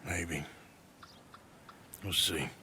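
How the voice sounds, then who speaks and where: An actor playing a weary, blunt Old West outlaw with an American accent and a plain-spoken drawl, in a video game. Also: male voice, sits low, steady tone, drawn-out words, bright, forceful tone, slight background noise, full character voice. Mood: weary, resigned. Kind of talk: dramatic video game cutscene acting.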